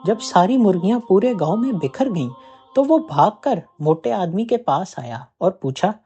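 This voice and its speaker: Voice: mid-range voice, sing-song, moderate pace, mellow, faint music behind. A narrator reading a scripted moral tale as a storyteller.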